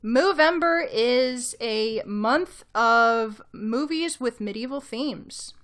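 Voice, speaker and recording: A woman co-hosting a podcast, explaining things casually with dry, measured phrasing. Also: a little high, a bit bright. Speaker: podcast co-host.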